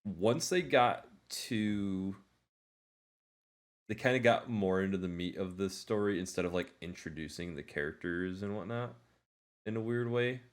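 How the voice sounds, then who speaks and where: A man with a low voice talks as a podcast co-host. His delivery is casual and conversational, rambling through retellings with animated exaggeration. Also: measured pace. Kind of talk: casual movie discussion chat.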